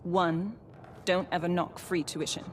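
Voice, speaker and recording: Lady as a lowish voiced game mage, wry and steady, dry delivery, faint ambience behind. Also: a little slow, slight background noise, lightly acted. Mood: somber, frank. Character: steady, wry female fugitive mage companion. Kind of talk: cinematic game acting.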